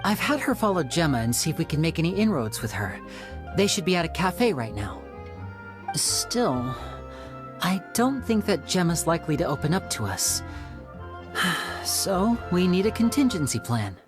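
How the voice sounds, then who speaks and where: A performer voicing a charming, smooth young male magician in a video game, with a polite, persuasive manner. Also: fairly high pitch, sing-song, moderate pace, music in the background. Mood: explaining.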